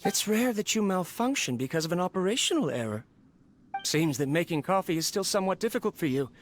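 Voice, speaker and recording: A lonely researcher in a video game, quietly wistful and gently scolding as he talks to his robot, with a higher male voice. A faint hiss sits under the recording. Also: sing-song, fairly quick. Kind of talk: game voice acting, recorded log.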